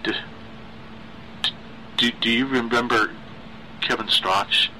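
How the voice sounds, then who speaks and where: A man voicing a timid, unhinged teenage boy in a story, with a halting, high-pitched stutter. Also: steady tone, sharp tone, a little hiss or hum, poor audio. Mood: nervous, halting. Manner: halting, repeating words as he stammers.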